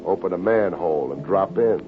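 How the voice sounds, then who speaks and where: An actor playing a wry, hard-boiled American private investigator in a 1950s radio detective drama, terse, wisecracking and deadpan. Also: male voice, low-pitched, brisk. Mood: wry narrating. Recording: dull old radio recording, faint music.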